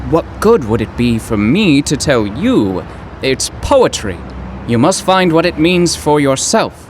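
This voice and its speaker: Earnest, flustered professor, swooping voice. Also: male voice, a little high, big pitch swings, a little slow, a little hiss or hum. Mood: hopeful, curious. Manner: flustered, florid old-fashioned phrasing. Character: earnest, awkward poetry professor, romantic and wordy. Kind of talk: old-time radio drama acting.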